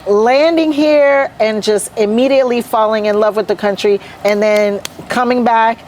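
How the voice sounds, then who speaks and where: A woman hosts a podcast on location at a hotel, with an upbeat, casual manner and a fairly high voice. A faint background hum sits under her speech. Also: fairly even intonation, moderate pace, a little mellow. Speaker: podcast host. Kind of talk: casual interview chat.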